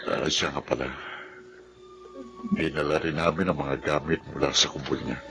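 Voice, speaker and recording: An actor with a Filipino accent playing a grave, sympathetic military officer with a deep gravelly voice in a radio drama. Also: male voice, fairly even intonation, normal speed, heavy background noise. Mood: solemn, sympathetic.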